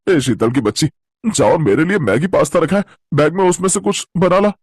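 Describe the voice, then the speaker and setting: Cartoon spoiled city boy, whiny braggart adult male performer; husky, swinging pitch, dry sound. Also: expressive, brisk, no room sound. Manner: bratty, complaining. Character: greedy, whiny, boastful chubby city boy.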